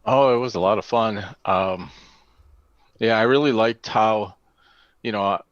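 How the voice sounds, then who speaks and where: A man's voice: fairly low pitch, a little slow, no echo. A man chatting casually as a guest about his part in a reading. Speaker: guest, amateur cast reader. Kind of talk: casual chat. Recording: dry, slightly dull lo-fi sound.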